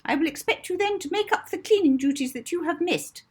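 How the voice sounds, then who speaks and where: A woman's voice: very high-pitched, lively intonation, fast, pitched above the performer's own voice. A woman voicing a strict older orphanage mistress in a children's audiobook, with imperious, clipped scolding. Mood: stern scolding.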